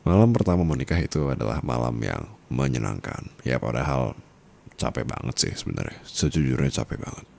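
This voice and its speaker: Male actor as dominant sugar-daddy husband, gravelly, lively swooping intonation, teasing, caring, intimate ASMR roleplay. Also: heavily acted. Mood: wry, musing. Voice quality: very deep, gravelly, husky. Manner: slow, teasing, soft murmurs dropping into whispers.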